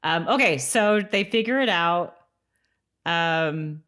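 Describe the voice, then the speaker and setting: A woman's voice: on the low side, lively intonation, slow, fairly bright tone. Casual woman co-hosting a podcast. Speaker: podcast co-host. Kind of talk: casual recap chat with read-aloud summaries.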